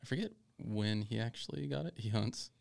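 A man co-hosting a casual barbecue podcast, telling easygoing stories in a relaxed way. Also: on the low side, a little fast, vocal fry. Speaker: podcast co-host.

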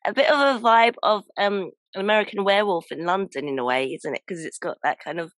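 A woman with a croaky, sore-throated voice hosts a casual interview, moderating and laughing often. Her voice sits mid-range and the sound is dry and close. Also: mid-range voice, fast, a bit bright, dry recording. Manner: laughs often, self-deprecating apologies. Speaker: podcast host.

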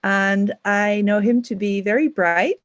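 A woman moderating an online talk over a video call, speaking with frequent pauses and fillers. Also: medium pitch, steady tone, no echo, clean studio sound. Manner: hesitant, many ums and uhs.

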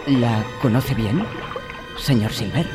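A man's voice: medium pitch, slow pace, loud music behind the voice, close to an everyday voice. An actor playing an earnest, anxious young cabin boy in a Spanish radio drama, respectful and urgent. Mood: nervous.

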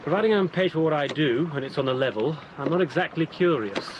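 A man's voice: a little high, animated, fast, highs cut off, background noise, lightly acted. An actor playing a wary, matter-of-fact boatman in a radio drama. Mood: guarded. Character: wary Cairo boatman, adult man.

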